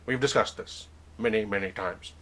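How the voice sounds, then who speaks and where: A man's voice: fairly low pitch, moderate pace, fairly bright tone. A man hosting a comedic webcam interview in a put-on accent, mock-formal and rambling, often questioning himself.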